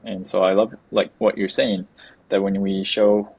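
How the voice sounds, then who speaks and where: Podcast host, man, deep flat husky voice, earnest and eager. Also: unhurried, no echo. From United States. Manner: earnest, enthusiastic, often restarts words. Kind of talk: casual interview chat.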